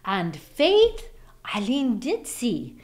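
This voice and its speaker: A woman reading a children's picture book aloud as an expressive storyteller. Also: a little high, extremely slow.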